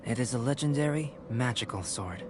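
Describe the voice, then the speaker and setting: A man voicing a calm, scholarly young male alchemist in a video game, giving measured, polite explanations over game music. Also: medium pitch, lightly acted. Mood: composed.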